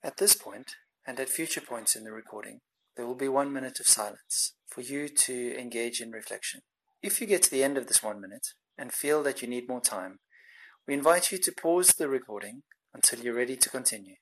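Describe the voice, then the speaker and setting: A man reading scripted instructions for a reflection pause in a calm, quiet, explanatory way. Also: medium pitch, husky, poor audio. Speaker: narrator giving instructions.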